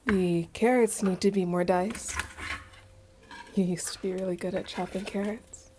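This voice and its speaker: A woman's voice: medium pitch, fairly even intonation, a little slow, background noise, close to an everyday voice. A woman playing an anxious, solicitous mother goddess in a radio play. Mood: fussing, solicitous. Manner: solicitous, probing, insistent. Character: fussing, anxious mother, a grieving harvest goddess.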